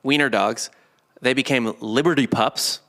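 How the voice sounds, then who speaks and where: A man with a bright, cutting voice at an average pitch hosts a history podcast. He explains things casually and conversationally, with a joking, informal delivery. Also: a little slow. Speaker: podcast host. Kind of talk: casual comedic history chat.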